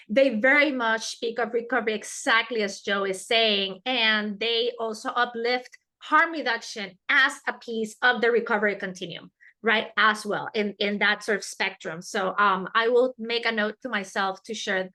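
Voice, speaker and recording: A woman's voice: fairly high pitch, bright, forceful tone. A woman with a Spanish accent presenting a professional webinar, earnest and repeating key words for emphasis. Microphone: video call.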